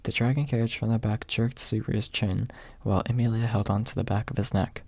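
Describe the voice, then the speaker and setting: A man narrating an ASMR audiobook in a hushed, husky voice, close to the microphone. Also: on the low side, fairly even intonation, a little fast, dry recording. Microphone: close microphone, dry.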